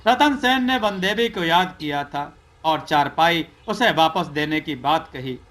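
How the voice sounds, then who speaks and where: A man's voice: a little high, lively intonation, a little slow, fairly bright tone. A man reading a scripted folk tale as a storyteller.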